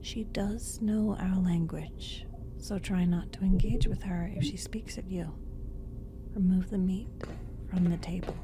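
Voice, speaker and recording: Facility doctor played by a woman: composed, breathy, lowish voice, very slow delivery, audio drama over noisy ambience. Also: fairly even intonation. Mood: calm, businesslike. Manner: measured, hushed, breathy, partly whispered. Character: calm, businesslike female doctor instructing a new recruit. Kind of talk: audio roleplay. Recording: clearly noisy background ambience.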